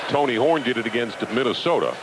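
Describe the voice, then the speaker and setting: A man's voice: mid-range voice, animated, fast. A man giving live play-by-play sports commentary as an announcer on a radio broadcast. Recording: very noisy AM radio broadcast recording.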